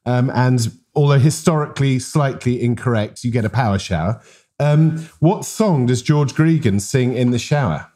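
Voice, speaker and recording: A man's voice: medium pitch, normal speed. A middle-aged man hosting, asking questions with teasing jokes. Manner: jokey, teasing, warm mate-to-mate. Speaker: podcast host. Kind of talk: interview questions in casual chat.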